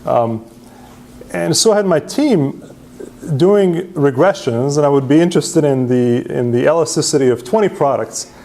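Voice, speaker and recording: A man's voice: on the high side, animated, moderate pace, gravelly, dark tone. Man giving a meetup talk. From Serbia. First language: Hungarian. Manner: self-deprecating, frequent "right?" tags and restarts. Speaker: presenter at user group meetup. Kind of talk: conference talk with slides.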